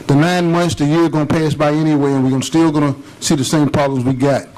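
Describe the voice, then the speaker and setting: Earnest, slightly higher-voiced male commissioner. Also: fairly even intonation, quick pace, darkish tone, rough recording. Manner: earnest, emphatic, plain-spoken. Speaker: city commissioner. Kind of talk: speaking at a public meeting. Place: city commission chamber.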